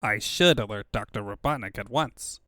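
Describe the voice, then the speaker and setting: A man voicing a meek, sly villain's henchman in a comic dub, quiet, deferential and apt to stammer. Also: fairly low pitch, moderate pace, dry recording, clean studio sound, voice pushed down. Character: meek, sycophantic male henchman, sly underling.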